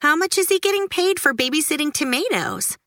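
A woman playing an excitable little girl in a storybook, her voice jumping high and squeaky with every outburst. The pranking kid swings her pitch all over the place, bright and sharp, recorded close and dry. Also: fairly quick, no room sound. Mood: excited. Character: spirited young girl who loves pranks.

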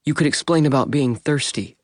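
A man voicing a calm, practical male vampire who speaks softly and matter-of-factly. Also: medium pitch, fairly quick, no room sound, studio quality, close to an everyday voice. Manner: quiet, matter-of-fact. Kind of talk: audiobook character voice.

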